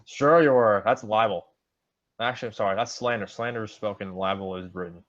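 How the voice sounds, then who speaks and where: A young man co-hosting a casual sports podcast, joking around and talking with quick, animated asides. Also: lowish voice. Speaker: podcast co-host. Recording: dull lo-fi sound.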